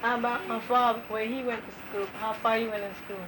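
A woman's voice: a little high, little pitch movement, fast talker. A woman answering interview questions hesitantly, with many fillers. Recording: noisy old field tape.

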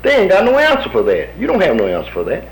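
A man's voice: high voice, wildly swooping pitch, fast talker, rough recording. An American man in his fifties, an army veteran telling his story in a folksy manner, acting out the voices of the people he quotes. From United States. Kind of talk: oral history storytelling.

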